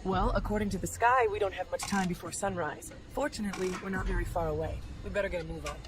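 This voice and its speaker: A woman playing a brisk, practical guide and companion in a video game, taking charge. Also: lowish voice, music in the background, lightly acted. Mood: urgent. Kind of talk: video game cutscene acting.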